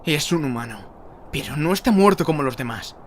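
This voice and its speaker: A man's voice: a little high, lively intonation, a little fast, a little hiss or hum, close to an everyday voice. An actor playing an earnest male elf ranger officer in a fantasy radio drama, speaking in a formal, dramatic way. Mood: grim, wary. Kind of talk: fantasy audio drama acting.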